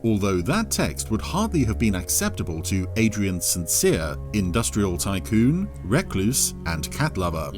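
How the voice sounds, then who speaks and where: Very animated male story narrator. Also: fairly low pitch, a little slow, few pauses, over music. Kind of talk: scripted dramatic story narration.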